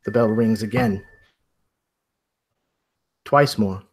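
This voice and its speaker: A man's voice: lowish voice, slow, heavy background noise. A man acting as game master, narrating a tabletop mystery role-playing session.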